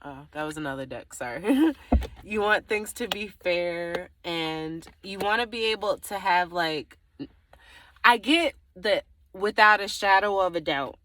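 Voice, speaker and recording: Bright, low-pitched woman reading tarot. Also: lively intonation, unhurried, a little hiss or hum. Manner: rambling, thinking aloud, trailing off and circling back. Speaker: tarot reader. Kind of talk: casual, improvised tarot reading to the viewer.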